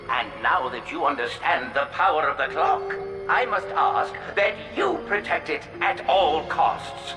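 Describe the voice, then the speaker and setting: A man's voice: on the high side, reverberant, rough recording. An actor playing a solemn, fatherly male inventor speaking in a recorded message in a game. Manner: slow, grave, measured. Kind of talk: video game cutscene acting.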